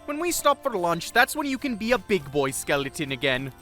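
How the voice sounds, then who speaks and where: A man as a bright-voiced, fussy bookish traveller. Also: sits high, sing-song, fairly quick, faint music behind, full character voice. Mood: dry, patient. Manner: dry, pedantic. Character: bookish, fussy, nervous travelling companion of a skeleton. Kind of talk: audio drama character acting.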